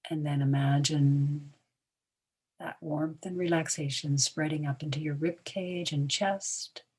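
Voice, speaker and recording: A woman leading a guided relaxation in a soothing, hushed manner, leaving long pauses between short phrases, with a breathy tone that trails toward a whisper. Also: sits low, fairly even intonation. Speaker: meditation guide.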